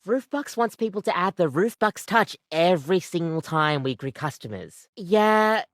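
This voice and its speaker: A woman's voice: lowish voice, animated, normal speed, dry recording, clean studio sound, close to an everyday voice. A woman voicing an overworked, apologetic barista in a video game, chatty, rambling and self-deprecating, with a weary devotion to the job. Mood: awkward, apologetic. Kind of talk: video game character acting, conversational.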